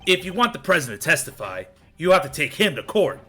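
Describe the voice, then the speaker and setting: Man as a cocky, very animated game rival. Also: a little high, fast talker, soft background music, exaggerated voice. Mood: taunting. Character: cocky, taunting male rival named Knight. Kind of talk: fan dub of a video game.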